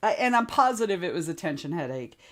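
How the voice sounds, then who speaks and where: A woman hosting a casual writing livestream, chatting and greeting viewers by name. Her voice is a little hoarse and wobbly, and she keeps clearing her throat. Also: fairly low pitch, big pitch swings, fast. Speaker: livestream host. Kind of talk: casual chat on a writing stream.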